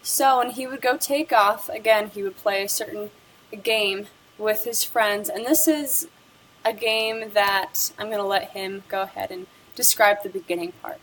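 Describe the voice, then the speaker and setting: A woman's voice: medium pitch, a little slow, a bit bright, slight background noise, poor audio. Young woman narrating a school project. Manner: reading a prepared script. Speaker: student presenter.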